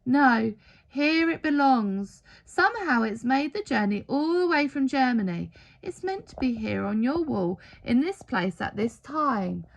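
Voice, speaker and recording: An old woman as a female storyteller acts her out speaks with tearful, wistful feeling. Her delivery is breathless and halting, higher in pitch, with some creak, as in a heartfelt storybook tale. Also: very animated, muffled recording, exaggerated voice. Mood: moved, gentle.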